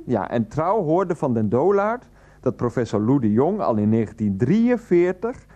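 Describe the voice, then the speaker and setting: A man's voice: mid-range voice, sing-song, a little slow, dark tone, dull audio. An actor playing an earnest, flustered man in a radio play, pleading and placating in hesitant, repetitive phrases. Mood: earnest, coaxing. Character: earnest, flustered adult son and partner, placatingly arguing. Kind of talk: 1990s radio play acting.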